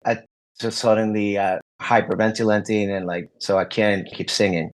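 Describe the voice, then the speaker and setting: A man's voice: fairly low pitch, fairly even intonation, moderate pace. A young adult man, a guest musician, answering interview questions openly in a rambling way, full of "like" fillers and restarts.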